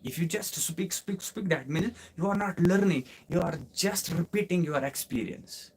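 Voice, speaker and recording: A man's voice: high-pitched, moderate pace, husky, low-quality recording. A man from Kashmir with a Kashmiri Indian English accent, teaching a live online English class and answering questions, repeating his points and asking listeners rhetorical questions. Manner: repetitive, instructive, asks listeners rhetorical questions.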